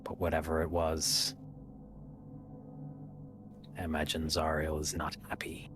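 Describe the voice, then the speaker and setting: A man's voice: a bass voice, completely flat delivery, unhurried, over music. A man playing in a tabletop game, talking in character with the other players. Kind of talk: in-character roleplay discussion.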